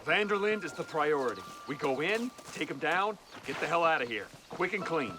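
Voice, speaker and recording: An actor playing a stern, officious government agent in a video game, curt and threatening. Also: male voice, on the high side, animated, fairly quick, background noise, close to an everyday voice. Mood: tense, wary. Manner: curt, superior, deferential to his boss. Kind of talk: video game cutscene acting.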